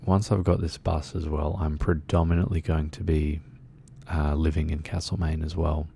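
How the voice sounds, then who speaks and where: Laid back aussie guy streaming, low gravelly voice, soft and soothing, lots of ums. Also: level tone, normal speed. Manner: soft-spoken and soothing, rambling with many fillers. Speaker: game streamer. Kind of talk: relaxed casual chat while gaming.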